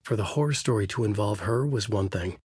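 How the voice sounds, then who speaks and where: A haunted novelist narrating a horror video game. His voice is husky and sits fairly low, recorded dry and close. He delivers hard-boiled prose gravely and deliberately, brooding over every line. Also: a little mellow, no echo. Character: troubled male novelist narrating his dark horror story.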